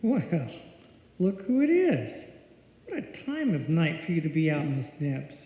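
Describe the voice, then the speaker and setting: A man's voice: a little high, wildly swooping pitch, a little slow, warm tone, full character voice. A man playing a friendly, protective small-town policeman in live old-time radio drama style. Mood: genial, concerned. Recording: echoey hall, dull lo-fi sound.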